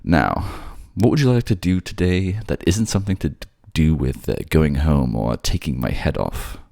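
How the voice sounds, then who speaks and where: A man voicing a doting merman king in a romantic audio roleplay, courtly, gently teasing and indulgent. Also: very deep voice, animated, moderate pace, vocal fry, no room sound, clean studio sound, heavily acted. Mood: amused.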